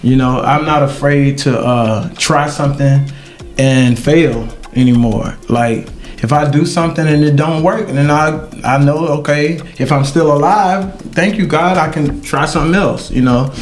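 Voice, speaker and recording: A middle-aged man hosting a podcast, talking in a halting, reflective way with many ums and uhs. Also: a little high, soft background music. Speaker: podcast host. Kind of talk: casual podcast chat.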